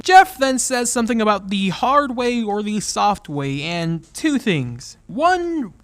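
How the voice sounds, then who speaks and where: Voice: mid-range voice, big pitch swings, slow pace, soft background music. A YouTube host giving scripted comedic commentary in an exasperated, sarcastic manner that breaks into mock outrage.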